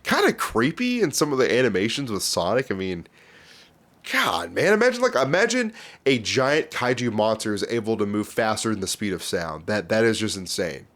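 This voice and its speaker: A man's voice: on the low side, big pitch swings, normal speed, fairly bright tone. A man giving informal, slangy, giggly reaction commentary as an online video host.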